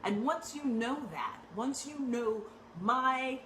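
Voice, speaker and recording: A woman acting coach giving direct, conversational tips to actors, often checking in with a quick "right?". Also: high voice, slight background noise. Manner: conversational, frequent rhetorical "right?" tags; animated and emphatic. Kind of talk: direct teaching talk to actors. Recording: echoey room with faint ambience.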